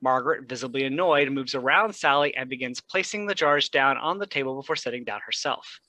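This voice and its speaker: Bright-voiced man reading stage directions. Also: mid-range voice, a little fast, dry recording. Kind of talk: scripted narration.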